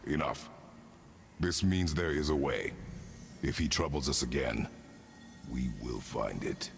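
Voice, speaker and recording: A grim, commanding warrior from a fantasy game. His voice is exceptionally deep and full of gravel, and he speaks in curt, solemn phrases. There is clear rumbling noise behind him. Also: a little slow, exaggerated voice. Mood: stern. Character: stern, terse, commanding warrior man. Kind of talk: video game character acting.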